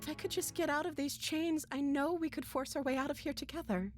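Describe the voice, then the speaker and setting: An actress playing a meek, gentle young captive princess in a game, timid and pleading. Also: female voice, high-pitched, steady tone, a little fast, close to an everyday voice. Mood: timid, hopeful. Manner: soft-spoken, timid, pleading. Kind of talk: visual novel character acting. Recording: clear music bed behind.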